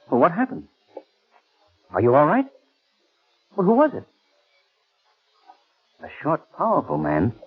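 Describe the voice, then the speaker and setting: Suave, expressive 1930s radio gentleman sleuth. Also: medium pitch, slightly rough, full character voice. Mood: alarmed, soothing. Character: suave, concerned, confident wealthy young gentleman sleuth. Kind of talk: 1930s radio drama acting. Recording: muffled, lo-fi 1930s radio recording.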